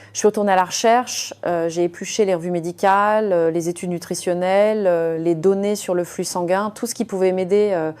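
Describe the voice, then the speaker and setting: A woman narrating a first-person story as a surgeon, in a measured, confiding way with frequent hesitant fillers. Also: medium pitch, mellow.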